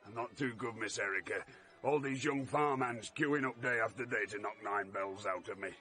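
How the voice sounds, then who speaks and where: Weary battered fairground boxer. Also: male voice, fairly low pitch, normal speed, pushed and bright, soft background music. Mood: friendly, weary. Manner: plain folksy talk, long-suffering. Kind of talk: vintage radio play acting.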